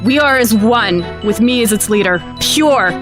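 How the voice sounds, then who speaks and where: A woman's voice: on the high side, animated, a little slow, music in the background. A woman playing a gloating tyrant president in an audio drama, grandiose and menacing, speaking as a cold megalomaniac villain. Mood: exultant, grandiose.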